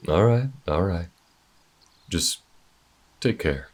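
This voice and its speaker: A man's voice: low-pitched, animated, takes it slowly, full character voice. A man playing an easygoing, good-hearted bounty hunter in a space frontier audio roleplay, talking in a folksy, reassuring drawl. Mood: concerned, kind.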